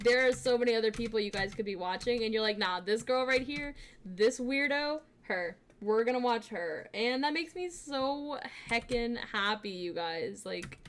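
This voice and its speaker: A young woman streamer chatting casually with her viewers, bubbly and giggly, with lots of fillers, switching between quiet asides and excited greetings. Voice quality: fairly deep voice for a woman. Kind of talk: casual live-stream chat.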